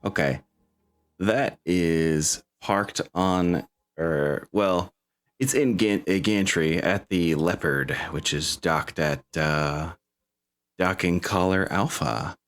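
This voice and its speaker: Slow, low-voiced man running a game. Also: fairly bright tone. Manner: rambling, self-correcting. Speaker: game master. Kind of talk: casual chat running a tabletop game.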